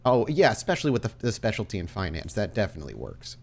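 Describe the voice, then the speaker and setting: A man narrating and refereeing a tabletop roleplaying game as game master, asking measured questions of his players. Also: on the low side, wildly swooping pitch, gravelly, a little mellow, slight background noise.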